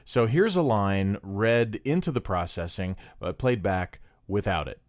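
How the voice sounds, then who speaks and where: A man's voice: on the low side, lively intonation, a little slow, a little mellow, no room sound, clean studio sound. A man casually explaining an audio production technique in a tutorial style.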